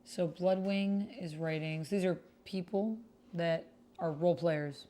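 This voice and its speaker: Mellow, lower-voiced woman streaming roleplay. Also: a little slow. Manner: chatty, addresses viewers directly. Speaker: streamer hosting a tabletop roleplay game. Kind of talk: livestream chat and read-aloud of text roleplay.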